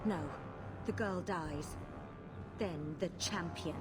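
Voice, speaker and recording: Ruthless female blood mage, cold, commanding, deliberate slow delivery, fantasy game, music underneath. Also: fairly low pitch. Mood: cold, menacing. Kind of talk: dramatic video game acting. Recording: music behind the voice.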